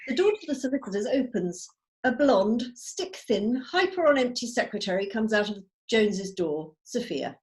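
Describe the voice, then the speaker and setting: A woman's voice: on the high side, expressive, moderate pace, poor audio. A woman narrating the stage directions of a script in a table read over a video call.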